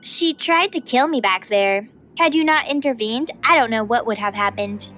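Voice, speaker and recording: Woman voicing a cocky pushy young goddess girl, audio drama, slightly high voice, severe, faint music behind. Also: normal speed, a bit bright, lightly acted. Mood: confident, pressing. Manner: severe, confident, pushy and foul-mouthed. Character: brash, domineering young masked woman, a feathered goddess.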